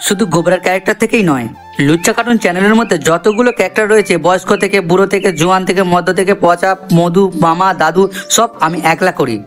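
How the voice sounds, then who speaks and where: A man's voice: high-pitched, steady tone, fast, natural voice. A man voicing an earnest, chatty cartoon character, explaining things to viewers. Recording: voice over background music.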